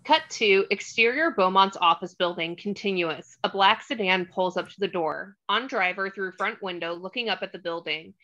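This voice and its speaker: Bright-voiced woman narrating a script. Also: mid-range voice, moderate pace, hardly any pauses, highs cut off. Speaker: narrator reading stage directions.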